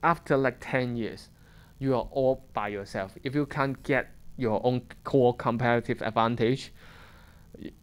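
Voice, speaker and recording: A man with a Hong Kong accent lectures a room of students in fairly smooth, resonant tones. His English is plain and conversational, broken by restarts and short chuckles as he works through his points. He sounds like someone in his thirties speaking off the cuff. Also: medium pitch. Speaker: fund manager giving a seminar talk.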